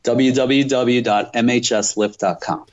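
A man's voice: mid-range voice, fairly even intonation, a little slow, lo-fi sound. A man answering interview questions as a business owner, explaining things in an earnest, emphatic, conversational way.

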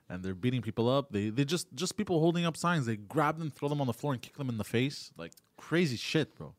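A man co-hosting a podcast, bringing up news topics in casual chat, halting and often repeating words as he talks. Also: medium pitch, lively intonation, dry recording. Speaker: podcast co-host.

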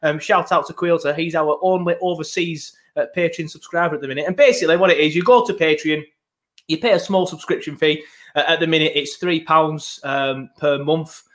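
A man's voice: fairly high pitch, steady tone, brisk, fairly bright tone. A man hosting a casual football podcast, chatty and rambling with lots of ums, recorded at his laptop. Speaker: podcast host. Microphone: laptop setup, video podcast.

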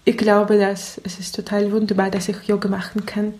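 A woman's voice: mid-range voice, completely flat delivery, moderate pace, darkish tone. A woman who is not a native German speaker, with a foreign accent, giving reflective interview answers in careful, searching phrases. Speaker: interview guest.

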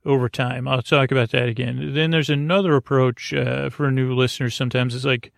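Male bedtime podcast host: heavy vocal fry, gentle reassurance, rambling halting delivery, dry close sound. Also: medium pitch, steady tone, no room sound. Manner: meandering, halting, over-explaining.

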